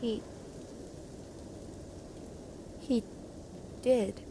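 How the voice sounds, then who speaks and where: A woman's voice: warm tone, slight background noise. A woman playing an earnest, determined young heroine burdened with guilt in a family audio drama. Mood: remorseful, tearful. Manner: earnest, halting. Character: determined, guilt-ridden young heroine climbing a magical mountain.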